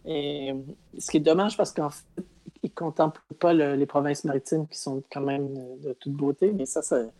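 A woman's voice: sits low, fairly even intonation, normal speed, mellow. A woman from Quebec, talking casually and emphatically as an interview guest, telling anecdotes. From Quebec, Canada.

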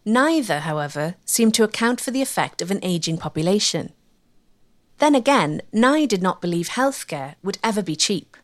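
A woman giving measured, scripted narration for a history documentary. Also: mid-range voice, animated.

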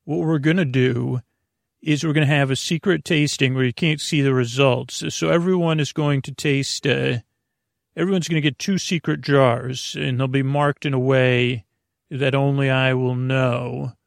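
Gravelly, meandering male bedtime podcaster. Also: mid-range voice, fairly even intonation, no echo, clean studio sound. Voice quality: gravelly, creaky. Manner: meandering, halting, over-explaining, trailing off. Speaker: podcast host. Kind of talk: rambling bedtime podcast monologue.

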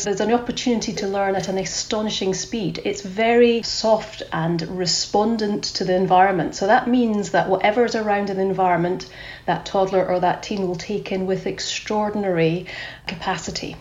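A woman's voice: mid-range voice, darkish tone, roomy sound, highs cut off. A woman with a Scottish accent, a clinical psychologist giving thoughtful explanations as a podcast guest, often repeating words as she goes.

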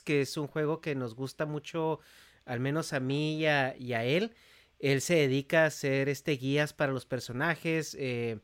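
A man's voice: on the high side, clear, resonant tone. A man hosting a podcast, introducing things in an informal, friendly, conversational way. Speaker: podcast host.

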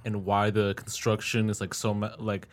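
A young man hosts a casual podcast interview. His voice sits low and stays flat, and he rambles through loose, filler-heavy questions in a laid-back way. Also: moderate pace. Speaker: podcast host.

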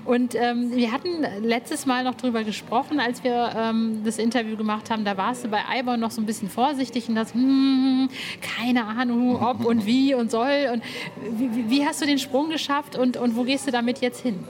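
A woman's voice: on the high side, fairly even intonation, moderate pace, a little mellow, a little hiss or hum. A woman interviewing in a casual chat, informal and enthusiastic. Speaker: podcast interviewer.

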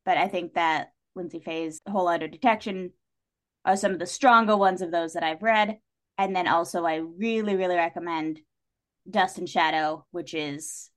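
A woman talking casually as a podcast guest, enthusiastic and wry, with playful asides. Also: lowish voice.

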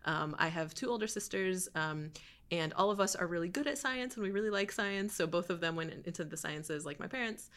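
A woman's voice: lowish voice, fairly quick. A young woman from Michigan, a podcast guest talking casually with lots of fillers. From Michigan, USA. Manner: relaxed, frequent fillers and laughs. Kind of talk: casual interview chat.

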